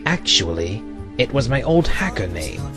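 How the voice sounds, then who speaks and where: A man playing a level-headed, deadpan team member in an audio drama. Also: medium pitch, moderate pace, over music. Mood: dry, calm. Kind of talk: amateur audio drama acting.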